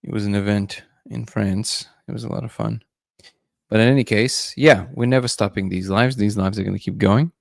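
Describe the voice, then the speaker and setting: A man's voice: fairly low pitch, fairly even intonation, moderate pace, vocal fry, dry recording, studio quality. A man hosting a casual livestream, musing loosely and greeting viewers by name. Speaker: livestream host.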